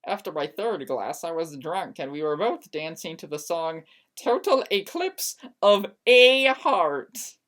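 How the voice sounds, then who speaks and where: A woman narrates amateur fiction as a dramatic reading. Her delivery is slow and theatrical, with exaggerated emphasis and pitch that moves up and down a great deal. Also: sing-song. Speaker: story reader.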